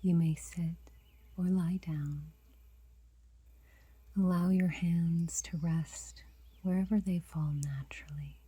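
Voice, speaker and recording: A woman guiding a meditation in a soft, breathy whisper, unhurried and gentle, leaving long pauses. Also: lowish voice, fairly even intonation, no echo. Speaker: meditation guide.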